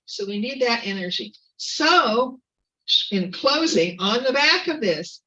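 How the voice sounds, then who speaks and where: A woman giving an earnest, informal activist talk at a community meeting, halting with fillers and restarts. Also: a little high, expressive, bright, forceful tone, recorded from a distance, highs cut off. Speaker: activist speaker.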